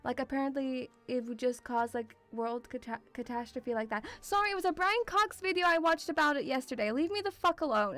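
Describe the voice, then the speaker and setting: A woman with a mixed European accent touched by Scottish, chatting casually as a livestream host in an excitable, rambling, sweary way. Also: high-pitched, no room sound. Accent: mixed European with Scottish influence. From Lithuanian-Russian background, partly grew up in Scotland.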